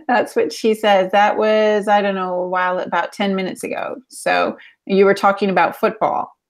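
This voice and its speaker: A woman co-hosts a podcast in a casual, conversational style. Her voice is of middling pitch. She talks in a chatty, effusive way. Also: steady tone, normal speed. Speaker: podcast co-host.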